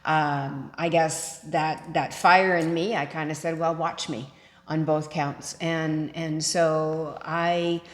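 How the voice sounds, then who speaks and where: Female co-host, 50s, lower register, casual conversational delivery, strongly echoey recording. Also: fairly even intonation, slow pace. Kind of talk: casual chat. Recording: echoey room with long reverb.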